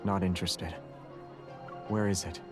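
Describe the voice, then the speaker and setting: A man's voice: deep voice, steady tone, a little slow. An actor playing a cold, guarded young man, a lone fighter in a video game, terse and restrained. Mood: aloof. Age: young adult. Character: cold, guarded young male fighter, loner bounty hunter. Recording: music behind the voice.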